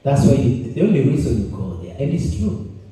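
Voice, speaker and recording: Kenyan guy opening a conference, dark mellow voice, big echoey room, a bit off mic. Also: mid-range voice, sing-song, a little fast, far from the mic. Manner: chatty, jokey, thinks aloud with many restarts. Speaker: event host and journalist. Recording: roomy hall, mic set back.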